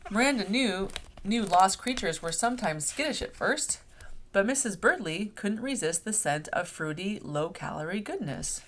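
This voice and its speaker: A woman reading a picture book aloud to young children in an expressive storytelling manner. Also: mid-range voice, normal speed, poor audio. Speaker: read-aloud host. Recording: clear background noise.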